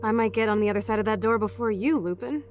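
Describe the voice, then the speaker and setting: A woman's voice: medium pitch, fast talker, a little hiss or hum, lightly acted. A young American woman playing a brash, rebellious, impulsive punk teenage girl in a video game, cocky and slangy. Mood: cocky, teasing. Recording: music behind the voice.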